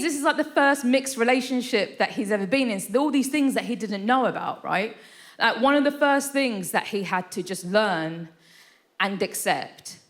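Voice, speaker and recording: A woman's voice: on the high side, a bit bright. A woman in her thirties doing a stand-up set on a theatre stage, chatty and self-deprecating, often tossing in "right?" asides. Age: 30s. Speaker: stand-up comedian. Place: live theatre stage with audience.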